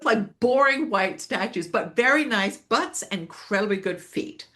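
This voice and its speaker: A woman's voice: mid-range voice, animated, a little slow, fairly bright tone. An older woman from Switzerland, a scholar guest in an interview, telling stories in a rambling, self-correcting way and laughing often. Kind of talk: reflective interview storytelling.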